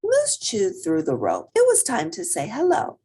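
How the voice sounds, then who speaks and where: A woman reading a children's picture book aloud as an expressive storyteller with theatrical emphasis. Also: mid-range voice, measured pace, breathy edge.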